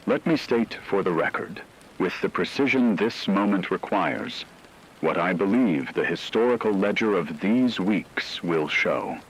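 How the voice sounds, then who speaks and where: A man playing a solemn radio broadcaster delivering a measured, formal scripted monologue in an apocalyptic radio drama. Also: sits low, animated, breathy edge, full character voice, poor audio. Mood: solemn, resolute. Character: grave male radio broadcaster bearing witness to global collapse.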